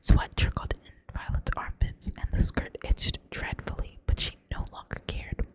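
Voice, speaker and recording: A woman with a Southern US accent reads a novel aloud in a soft ASMR whisper. She stumbles on words now and then and corrects herself without breaking the hush. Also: normal speed. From Southern United States. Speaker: reader.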